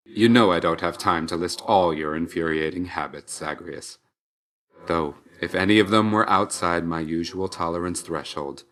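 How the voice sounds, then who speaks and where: A man playing an aloof, dutiful young god of death in a video game, reserved and measured, with phrases trailing off quietly. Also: low-pitched. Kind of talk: video game character voice acting.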